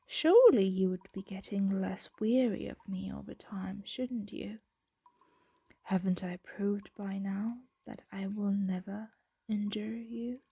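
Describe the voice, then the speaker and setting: A woman voicing a possessive, doting female dragon in an intimate ASMR roleplay, speaking softly and deliberately and often slipping into a whisper. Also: medium pitch, vocal fry, breathy edge, dry recording, lo-fi sound, full character voice. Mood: puzzled, fond.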